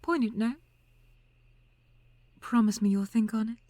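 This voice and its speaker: A woman's voice: a little high, mellow, no echo, lightly acted. A woman playing a teasing, mysterious mentor. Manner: measured, teasing, drops to whispers. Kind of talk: fan audio drama acting.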